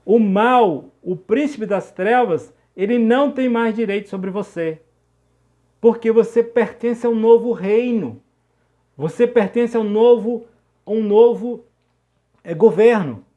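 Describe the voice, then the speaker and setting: A Brazilian man with a notably high-pitched voice preaches informally. He explains things in a didactic way, restating key phrases and often stopping to check that the listener follows. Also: measured pace, mellow, lo-fi sound. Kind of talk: informal sermon, teaching talk.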